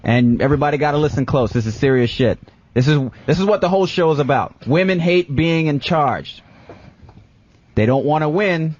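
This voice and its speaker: A man's voice: a little high, lively intonation, normal speed, a little mellow. A man co-hosting a casual radio talk show, setting out discussion points for the others. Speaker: co-host reading out discussion points. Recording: dull lo-fi radio audio.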